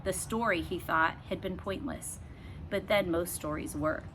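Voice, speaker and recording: A woman reading a children's book aloud expressively, with emphatic phrasing and dramatic pauses. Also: lowish voice, a bit bright. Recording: faint background noise.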